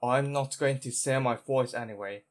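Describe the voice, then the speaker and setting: A man voicing a calm, reserved adult male video game character in a quiet, measured way. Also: mid-range voice, natural voice. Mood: subdued.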